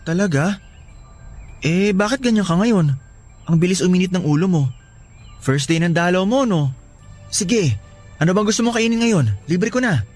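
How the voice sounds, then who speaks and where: A man playing a sweet, eager young college boyfriend in a Filipino radio drama, gentle and a little hesitant. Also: sits high, expressive, moderate pace, pauses often, faint music behind. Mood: puzzled, coaxing.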